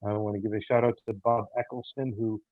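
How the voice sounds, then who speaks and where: A man speaking haltingly as an interview guest, with frequent hesitations and pauses. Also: fairly low pitch, level tone, dry recording. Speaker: audio theater producer and guest. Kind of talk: casual interview chat. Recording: muffled, phone-like narrow sound.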